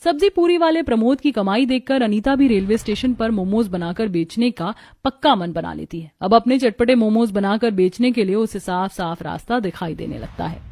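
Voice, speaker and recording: A woman's voice: mid-range voice, moderate pace, hardly any pauses, darkish tone. A woman narrating a scripted cartoon story as its storyteller.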